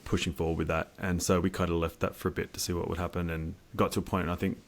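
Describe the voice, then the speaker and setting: A man's voice: low-pitched, level tone, fast. A man speaking casually and informally as a guest in an interview about his engineering work.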